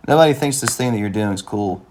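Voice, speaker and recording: A man voicing a wry, plain-spoken, skeptical young man in an animated comedy-drama, with a deadpan, reasoning delivery. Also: fairly low pitch, fast talker, slight background noise, close to an everyday voice. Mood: challenging.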